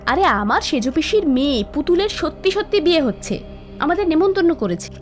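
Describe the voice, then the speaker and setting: High-pitched spiteful nagging young wife. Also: female voice, very animated, a little fast, soft background music. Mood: demanding, nagging. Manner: shrill, nagging, dramatic complaining. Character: spiteful scheming young village wife and sister-in-law. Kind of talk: animated cartoon moral-story acting.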